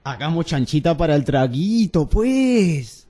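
A woman's voice: low voice, very animated, measured pace, mellow, husky, full character voice. A woman playing an easygoing, party-loving young student in a radio drama. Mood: cheerful, cajoling.

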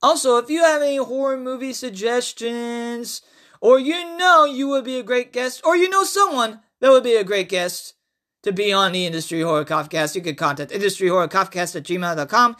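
A podcast host reading a horror story and promotional notes in a halting way, repeating phrases. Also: medium pitch, sharp tone.

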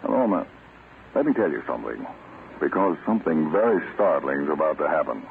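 A stern American government spy-catcher in a 1940s radio play. His voice is very low, deliberate and self-assured. The old recording is thin and narrow, as if through a small speaker. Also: very animated, creaky, heavily acted. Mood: grave, explaining. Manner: deliberate, authoritative, explanatory. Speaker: radio drama actor. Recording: muffled, tinny, noisy old radio recording.

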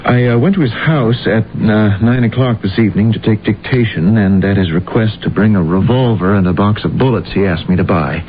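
A man acting as a meek middle-aged secretary in a radio play. He is nervous and stammering, with a lowish voice on a tinny, hissy old recording. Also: a little fast, few pauses, lightly acted. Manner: hesitant, stammering.

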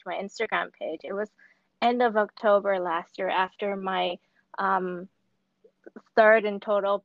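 A woman's voice: medium pitch, vocal fry, no room sound. Woman guest calling in from Hong Kong, rambling and chatty, dry dull line. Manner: chatty, many fillers and restarts. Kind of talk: casual remote interview.

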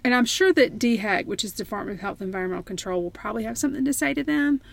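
A woman's voice: fairly high pitch, expressive, very fast, creaky, crowd noise. An American woman co-hosting a casual remote chat, chatty with frequent laughs and restarts. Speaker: podcast co-host.

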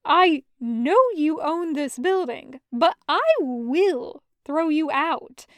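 A woman's voice: sits high, slow pace, no room sound, studio quality. A woman voicing a brash, hurt, sarcastic man in an audio story, with emphatic slangy delivery. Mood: frustrated.

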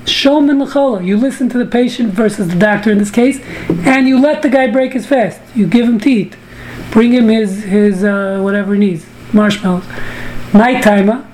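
A woman's voice: medium pitch, normal speed, darkish tone, slight background noise, poor audio. A woman from the US teaching a Talmud class over a video call, joking and bantering with participants. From United States. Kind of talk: online study class.

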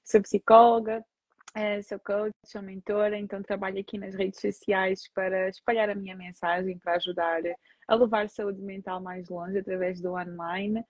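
A woman with a European Portuguese accent answers as a guest on a video call. Her voice is a little husky and stays level in pitch. The sound is dry and close, and she speaks in a measured, reflective way. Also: level tone, no room sound, low-quality recording. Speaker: guest psychologist. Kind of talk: live interview guest.

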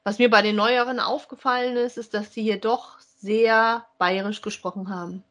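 A woman's voice: fairly high pitch, steady tone, moderate pace, fairly bright tone. A woman chatting casually as a podcast co-host, informal and thinking aloud as she goes. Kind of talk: casual conversational review of audio dramas.

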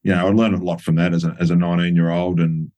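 A middle-aged Australian man with a very deep voice recalls his playing days. He talks in a loose, halting way, full of ums and restarts, now and then chuckling at his own stories. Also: flat delivery, warm tone. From New South Wales, Australia. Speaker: former footballer, guest. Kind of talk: relaxed reminiscing interview.

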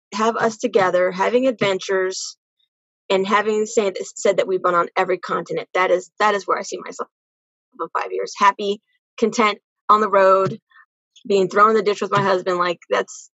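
A woman speaks as an interview guest in an earnest, conversational way, repeating words to stress her point. Her voice sits at a middle pitch, recorded very dry but slightly dull and muffled. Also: no room sound. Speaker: podcast guest.

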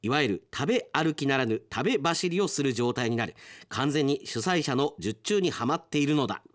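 A man's voice: medium pitch, animated, moderate pace. A middle-aged man presenting on radio, chatting casually and reading listeners' submissions aloud, often chuckling to himself.

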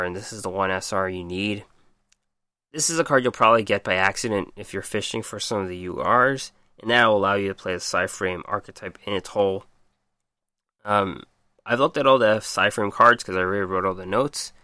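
This is a man hosting a podcast, his voice a touch low but bright and forward, and worn hoarse from talking. He reads card stats plainly, then muses on them with frequent ums. The sound is dry and close, with no room around it. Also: no echo. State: losing his voice. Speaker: podcast host. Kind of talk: casual card game review.

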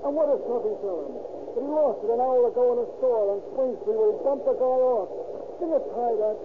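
A man's voice: very high voice, brisk. An actor playing a talkative, resentful small-time American stickup man in a 1930s radio crime drama, with slangy tough-guy talk. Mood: aggrieved. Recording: tinny, noisy old 1930s radio broadcast.

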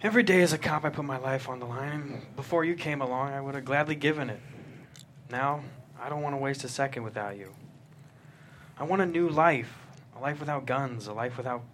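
A man acts out an earnest cop devoted to his sweetheart and tired of danger. He speaks at a middle pitch in a script reading, with slight noise behind him. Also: brisk, fairly bright tone, natural voice. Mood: earnest, tender. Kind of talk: table read of a thriller script.

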